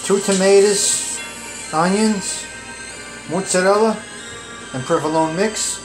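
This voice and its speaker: Man, home cook vlogger, slightly high voice, casual ingredient rundown, phone audio in a kitchen with music behind. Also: slow pace, low-quality recording. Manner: chatty, listing ingredients with fillers. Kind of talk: casual cooking walkthrough.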